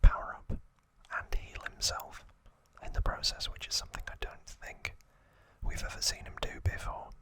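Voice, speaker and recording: A man hosting ASMR, giving a hushed, slow recap in short phrases in a breathy, unvoiced whisper. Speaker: ASMR host.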